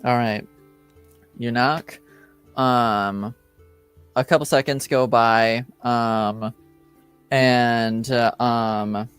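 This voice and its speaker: A man narrating a tabletop roleplaying game as game master, casual and halting as he searches for words. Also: mid-range voice, clear, resonant tone.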